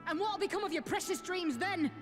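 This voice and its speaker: High, scornful, taunting woman. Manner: mocking, drawn-out delivery. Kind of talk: scripted video game drama. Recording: echoing reverb, music behind.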